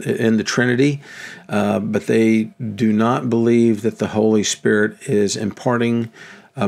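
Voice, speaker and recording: Host, fifties man, low gravelly voice, halting unscripted talk to webcam at home. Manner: hesitant, many ums and restarts. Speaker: religious video host. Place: small home room with lamps and shelves.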